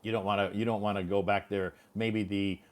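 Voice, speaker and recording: A man's voice: lowish voice, little pitch movement, a little fast. A middle-aged man hosting a casual interview, chatty and rambling with frequent restarts. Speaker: host.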